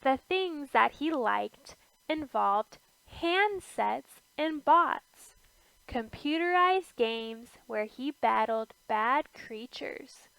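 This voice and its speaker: A woman reading a rhyming children's picture book aloud, with deliberate phrasing and pauses between short phrases. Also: high-pitched, expressive, gravelly, a bit bright, clean tone, no echo, low-quality recording. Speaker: storybook reader.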